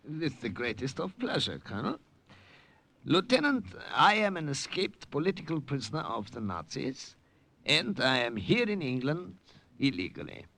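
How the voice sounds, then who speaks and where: Creaky-voiced, formal professor. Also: male voice, fairly high pitch, very animated, pushed and bright, exaggerated voice. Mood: earnest, formal. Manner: formal, deliberate. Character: little bearded professor, earnest, formal escaped political prisoner. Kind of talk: 1950s radio drama acting. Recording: old 1950s radio broadcast.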